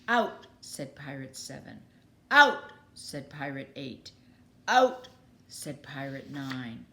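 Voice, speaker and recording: Woman acting a fierce shouting storybook pirate. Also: very deep voice, very animated, slow, pitched below the performer's own voice, exaggerated voice. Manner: barking single words loudly. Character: gang of terrible, furious, bullying pirates.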